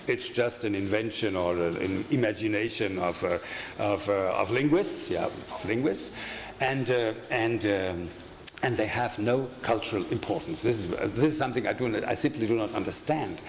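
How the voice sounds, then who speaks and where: An older man with a German accent, a native German speaker, giving an academic conference lecture at a lectern in a halting way, with many fillers, restarts and wry asides. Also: lowish voice. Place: lecture hall with projection screen. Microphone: desk microphone at a lectern. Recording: echoey hall with faint room noise.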